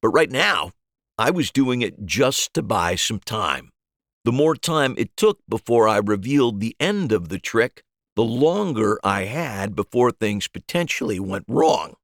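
A man's voice: lowish voice, very animated, a little slow, no room sound, studio quality. A man reading a first-person story aloud as a scripted narration. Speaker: audiobook narrator.

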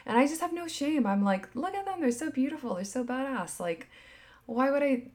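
A woman in her thirties chatting casually and candidly as a podcast host, swinging between emphatic bursts and hushed asides, with lots of filler words. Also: sits high, expressive, fairly quick. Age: 30s. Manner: frequent filler "like", candid and swearing. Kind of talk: casual opinion chat.

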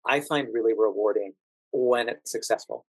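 An American man speaks as an interview guest in a close, dry sound with little room echo. He explains things thoughtfully and in a measured manner, at a middling pitch. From United States. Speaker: podcast guest, actor working as a standardized patient.

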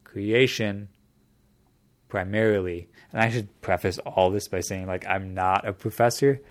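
A man's voice: on the low side, measured pace. A man in casual conversation, explaining a topic at length in a thoughtful way, often restarting his sentences.

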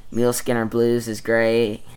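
A man's voice: medium pitch, completely flat delivery, a little slow, a little mellow, clear, resonant tone. A teenage young man, chatting casually and a little hesitantly as an interview guest. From East Tennessee, United States. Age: teenager, about 14. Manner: hesitant, informal, youthful phrasing. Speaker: interview guest, young bluegrass mandolin player.

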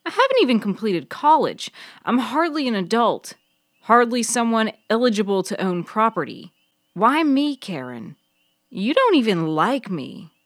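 A woman's voice: on the high side, sing-song, measured pace, no room sound, voice pushed up. A narrator woman plays a college-age young woman in an audiobook story, anxious and defensive. Her voice rises well up as she questions and protests, in a dry recording. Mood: exasperated.

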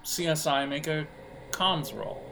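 A man narrating an audio role-playing game as game master, halting as he thinks aloud. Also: fairly high pitch, expressive, creaky, noisy background.

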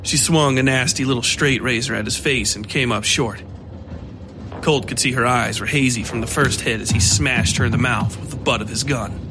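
Male storyteller for dark fiction podcast, mid-pitched, scripted delivery over a clear music bed. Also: normal speed, low-quality recording. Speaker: narrator. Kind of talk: scripted horror fiction narration.

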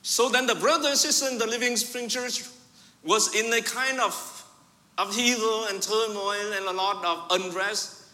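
Bright-toned pastor. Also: male voice, high-pitched, fairly even intonation, normal speed. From Vietnam. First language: Vietnamese. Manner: storytelling, swings from loud emphasis to quiet asides. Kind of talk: sermon with personal story. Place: church sanctuary. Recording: reverberant church hall.

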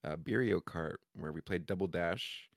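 A young man with a hoarse, raspy, cracky voice, rambling through a story with lots of fillers in a casual podcast chat. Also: low-pitched, normal speed. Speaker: podcast co-host.

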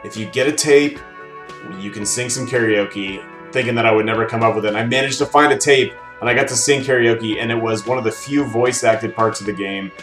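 A man's voice: medium pitch, fairly quick, fairly bright tone. A man co-hosting a podcast, telling stories about video games in casual conversation. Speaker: podcast co-host. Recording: echoey room, faint music behind.

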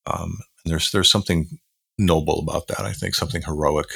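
A man with a very deep voice and a bright, forceful edge talks through a reflective podcast conversation. He is earnest and measured, often restarting phrases. The sound is dry and close. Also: steady tone, vocal fry, husky, no room sound. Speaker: podcast co-host.

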